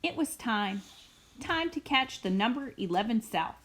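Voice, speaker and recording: A woman reading a children's picture book aloud expressively, with dramatic, deliberate phrasing and emphasised words. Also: a little high, animated, fairly bright tone. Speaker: read-aloud storyteller.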